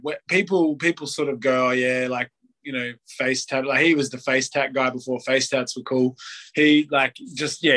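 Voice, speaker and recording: A man's voice: medium pitch, a bit bright. A man, chatting casually on a podcast, rambling with lots of "like" and acting out little quotes. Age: late 20s. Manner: rambling, heavy use of "like", acts out quotes.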